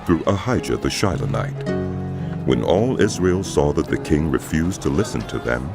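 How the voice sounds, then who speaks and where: Very deep, gravelly male narrator. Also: very animated, moderate pace. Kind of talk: scripted dramatic scripture narration. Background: loud music.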